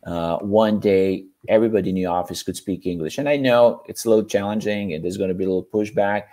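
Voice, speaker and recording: A man's voice: lowish voice, steady tone, fast talker, mellow. A man whose first language is Serbian, giving encouraging sales feedback in English with frequent restarts during an online session. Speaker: sales mentor.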